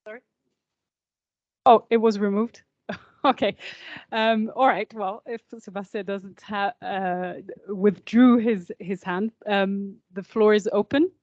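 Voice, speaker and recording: A woman's voice: mid-range voice, fairly even intonation, slow, darkish tone, dry recording, highs cut off. A woman moderating a workshop discussion who speaks hesitantly, with many fillers and restarts.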